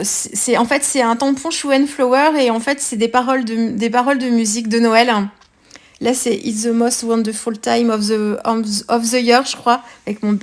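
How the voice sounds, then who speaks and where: French woman, fairly high voice, relaxed halting tutorial talk for a craft vlog. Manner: hesitant, many fillers and restarts. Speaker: scrapbooking vlogger. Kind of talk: casual craft tutorial narration.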